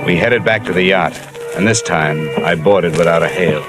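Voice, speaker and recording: An actor playing a tough, sardonic, wisecracking investigator in a vintage radio detective drama. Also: male voice, sits low, moderate pace, over loud music, lightly acted. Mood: hardboiled, wry. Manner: sardonic, wry similes. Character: tough, wisecracking male investigator narrating his own case. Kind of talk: 1940s hardboiled radio detective acting. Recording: noisy old radio recording.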